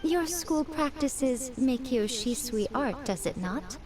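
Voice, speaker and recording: An actress playing a wise, elegant shrine lady in a video game, speaking in a measured, lofty, faintly amused way. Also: sits high, music in the background. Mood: knowing, amused.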